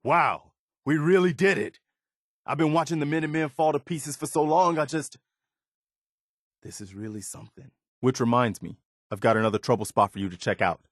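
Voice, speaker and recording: An actor voicing an earnest, resolute militia leader who rallies settlers, in video game dialogue. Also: male voice, medium pitch, brisk, no echo, studio quality, close to an everyday voice. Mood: awed.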